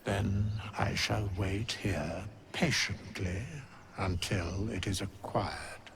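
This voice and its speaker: An actor playing an ancient, solemn undead skeleton in a video game, speaking archaic, formal English with a breathy, measured delivery. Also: male voice, low-pitched, fairly even intonation, a little hiss or hum, full character voice. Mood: calm, cryptic.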